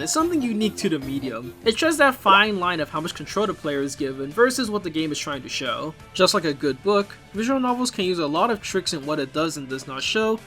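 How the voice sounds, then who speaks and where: A man with a fairly high voice delivers scripted video essay commentary over music. His pitch moves around a great deal as he explains things, and his phrasing is informal and opinionated. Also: sharp tone. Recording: music behind the voice.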